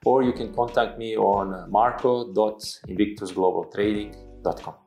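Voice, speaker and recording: A man with a Serbian accent giving halting, hesitant interview answers as a company boss, often repeating words. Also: on the low side, steady tone, creaky, a little mellow. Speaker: company CEO, interview guest. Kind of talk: interview answers about his business. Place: indoor studio at a desk. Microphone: clip-on lapel mic. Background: background music.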